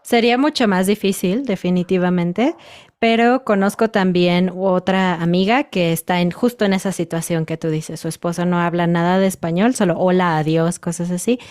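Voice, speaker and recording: A woman's voice: lowish voice, fairly even intonation, clean tone. A woman in her thirties with a Mexican Spanish accent, a guest chatting thoughtfully in an interview. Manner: thoughtful, measured, with soft laughs. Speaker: podcast guest, Spanish teacher. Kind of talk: casual interview chat.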